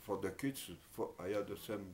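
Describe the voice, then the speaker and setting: An older man with a French accent, recalling his past in an interview, speaking haltingly with many pauses and fillers. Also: on the low side, a little mellow, a little hiss or hum. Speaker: oral history interviewee. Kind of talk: reminiscing in an interview.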